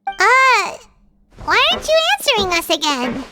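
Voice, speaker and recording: A woman voicing a small, childlike, excitable and easily scared fairy companion in a video game, with a squeaky voice. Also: a little slow, heavily acted. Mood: puzzled. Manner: refers to self in third person, exclamatory, hesitant. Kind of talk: video game character acting. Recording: background music throughout.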